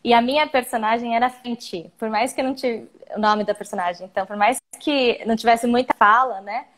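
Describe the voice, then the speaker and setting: Chatty Brazilian woman, slightly high voice. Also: steady tone, a little fast, a bit bright. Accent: Brazilian Portuguese. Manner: chatty, meandering, frequent restarts. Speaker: guest, actress and singer. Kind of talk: casual live-stream interview. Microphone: video call.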